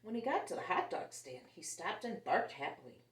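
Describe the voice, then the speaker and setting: A woman reads a picture book to little listeners in a lively, expressive voice that rises and falls a lot. The sound is roomy and she sits some distance from the mic. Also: fairly low pitch, a little fast. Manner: animated and expressive, voicing characters. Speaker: children's story reader. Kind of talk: reading a picture book aloud to children.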